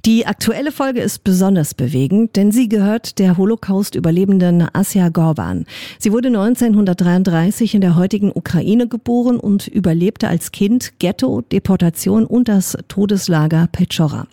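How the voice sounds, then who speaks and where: A woman reading a scripted podcast introduction as a radio presenter, in a measured, informative way. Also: medium pitch, animated, mellow, no echo, clean studio sound. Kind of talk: scripted narration, podcast promo.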